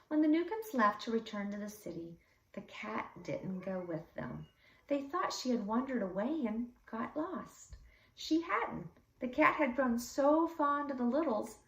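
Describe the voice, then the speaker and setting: A woman reading a children's story aloud expressively, with deliberate, dramatic emphasis for young listeners. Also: fairly high pitch, gravelly. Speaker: read-aloud storyteller for schoolchildren. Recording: echoey room, slightly distant mic.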